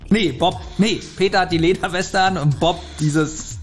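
A man's voice: high-pitched, moderate pace, soft background music. A man co-hosting a podcast, recapping an episode in casual chat. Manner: laughs often. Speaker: podcast co-host.

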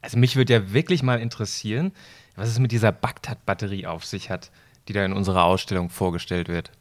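Easygoing, low-voiced time traveller, played by a man. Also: fast talker, close to an everyday voice. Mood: intrigued. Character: easygoing, adventurous male time traveller, rational friend. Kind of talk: amateur scripted audio drama.

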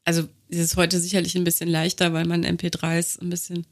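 A woman's voice: fairly low pitch, completely flat delivery, fast talker. A woman co-hosting a podcast in casual, conversational chat. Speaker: podcast co-host.

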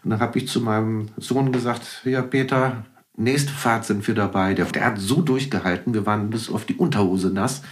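A man's voice: fairly low pitch, normal speed, slight background noise. A middle-aged man as a podcast guest, telling anecdotes in a casual, enthusiastic conversational way.

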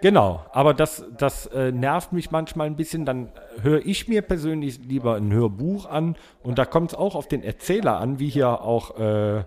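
A man's voice: medium pitch, lively intonation, normal speed, few pauses, a little mellow. A man co-hosting a podcast, reminiscing in a rambling, conversational way. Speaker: podcast co-host. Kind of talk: casual reminiscing chat.

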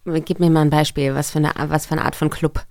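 A woman with a low, smooth and resonant voice chats as a guest actress on a podcast. The sound is dry and close. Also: little pitch movement, fast talker, darkish tone, no echo. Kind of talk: casual interview chat.

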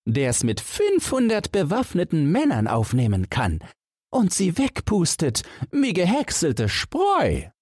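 A man's voice: a little high, huge pitch swings, takes it slowly, darkish tone, breathy edge, no room sound, clean studio sound, voice pushed up, full character voice. A man voicing a cheeky, confident and carefree young hero of a fairy tale.

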